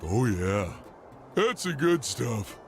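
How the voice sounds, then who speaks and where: Goofy cartoon starfish, slow, dopey, big pitch swings, good-natured. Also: male voice, big pitch swings, over loud music. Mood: dopey, content. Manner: slow-witted, dopey, dramatic. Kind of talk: cartoon video game acting.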